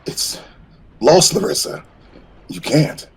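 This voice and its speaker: A man playing a protective Jedi brother in an audio drama, pleading forcefully and urgently in a strained voice. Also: on the high side, very animated, takes it slowly, gravelly, husky, exaggerated voice. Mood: alarmed.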